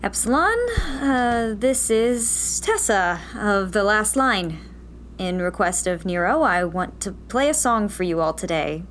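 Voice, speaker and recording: A woman's voice: medium pitch, animated, a little slow, fairly bright tone, slight background noise. A woman playing a guarded young rebel in hiding, dry and hesitant, in a sci-fi audio drama. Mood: hesitant, hopeful. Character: guarded, wry young female rebel radio host in hiding.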